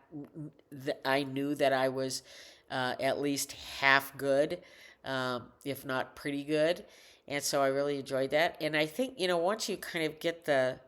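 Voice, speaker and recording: An older woman with a low alto voice talking casually into a desk microphone at home, rambling, halting often and correcting herself mid-sentence. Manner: rambling, halting, many restarts and self-corrections. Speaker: vlogger. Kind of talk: casual personal monologue.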